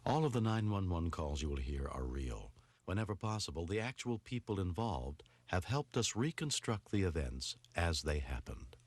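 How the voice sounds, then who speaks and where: A man's voice: deep voice, very animated, moderate pace, breathy edge, no room sound. A man narrating a documentary about true events in a dramatic, scripted style.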